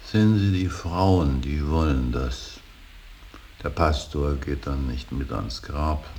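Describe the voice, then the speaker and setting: An older male actor playing a grieving, stern and brooding old painter in a radio drama, speaking in a halting, weighty, solemn stage manner. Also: darkish tone. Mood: weary, grieving. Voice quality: very deep, gravelly. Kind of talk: slow, solemn German stage-style radio drama acting. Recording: hissy radio play recording.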